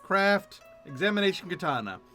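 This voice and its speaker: Male game streamer, high bright voice, lively casual delivery, light music underneath. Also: moderate pace. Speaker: streamer playing a fantasy video game. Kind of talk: casual gameplay chat, reading dialogue aloud.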